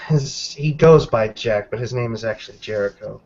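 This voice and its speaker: A man playing a guarded, knowing werewolf woman in an improvised roleplay, speaking in a measured, hedging, explanatory way. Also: mid-range voice, darkish tone, lo-fi sound, lightly acted.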